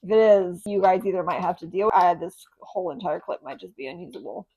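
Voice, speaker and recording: A woman's voice: lowish voice, fairly even intonation, fairly quick, dark tone, husky, poor audio. Chatty young woman with a slight lisp. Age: young adult. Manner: chatty, rambling, restarts often. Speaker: vlogger. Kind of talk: casual vlog chat. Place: home, indoors. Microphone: lapel mic on chest.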